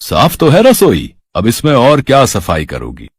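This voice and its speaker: A man voicing a good-humoured middle-aged Indian husband and father in a cartoon story, husky, coaxing and wry. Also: mid-range voice, huge pitch swings, measured pace, no room sound, clean studio sound, full character voice. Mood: teasing, coaxing.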